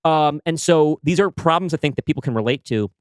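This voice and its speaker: A man's voice: on the high side, fairly quick, clean tone, dry recording. A married man co-hosting a casual podcast, thoughtfully explaining his creative choices with frequent restarts. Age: adult, married. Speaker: podcast co-host, writer of the story.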